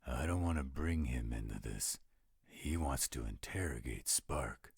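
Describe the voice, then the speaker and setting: Werewolf for audio drama, male actor: blunt and protective, bass growl full of fry, dry recording. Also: animated, measured pace, no echo, full character voice. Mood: protective, measured. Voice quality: gravelly, raspy. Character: gruff, protective male werewolf, laid-back with loved ones. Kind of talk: roleplay audio drama acting.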